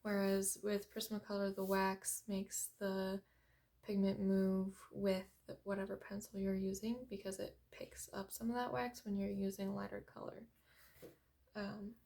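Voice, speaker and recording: A young woman talks through a coloring tutorial in a very quiet, soft voice. She speaks slowly, at an ordinary mid pitch, with hesitant pauses and frequent ums. She sounds gentle and a little apologetic. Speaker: art tutorial host. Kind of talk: unscripted coloring tutorial narration.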